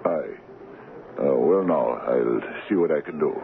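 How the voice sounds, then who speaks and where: An actor playing a folksy Scottish house agent, a hesitant, rambling rural bachelor in a 1970s radio drama. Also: male voice, very deep voice, expressive, a little slow, gravelly, exaggerated voice. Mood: cautious, obliging. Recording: muffled, lo-fi old radio broadcast, faint noise.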